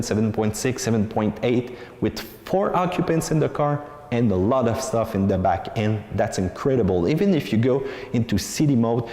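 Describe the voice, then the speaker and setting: Guy w/ doing a casual car review, emphatic, mid pitch, really echoey reverby sound. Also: dark tone. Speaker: car reviewer, channel host. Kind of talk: casual video car review, talking to viewers. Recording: echoey with long room reverb.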